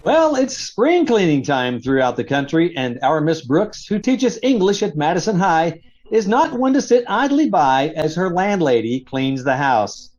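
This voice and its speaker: A man introduces an old-time radio show as announcer and narrator. His voice is on the high side and very animated, heard through dull, lo-fi audio. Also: a little slow. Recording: dull, lo-fi video-call audio.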